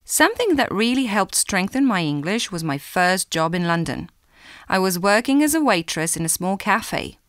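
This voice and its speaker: A woman giving a clear, measured scripted reading for a language listening exam. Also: medium pitch, big pitch swings, a bit bright, no room sound, studio quality. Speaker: exam recording reader.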